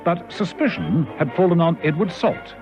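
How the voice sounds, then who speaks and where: Animated male radio serial narrator. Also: on the high side, normal speed. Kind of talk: dramatic scripted narration, vintage radio serial. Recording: dull lo-fi old radio recording. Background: music.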